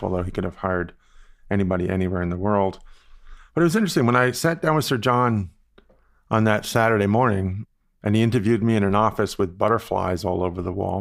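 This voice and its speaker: A man in his sixties from the Bahamas, telling stories about his career in a measured, reflective way, as an interview guest. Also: lowish voice. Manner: measured, reflective, with frequent "you know" asides. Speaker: guest, investment firm founder. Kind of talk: relaxed storytelling interview answers.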